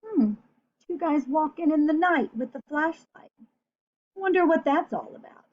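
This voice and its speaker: A woman's voice: high voice, animated, measured pace. A woman reading a children's picture book aloud in a playful manner, putting questions to her young listeners. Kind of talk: animated children's read-aloud with asides to the listener. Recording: dull, lo-fi sound.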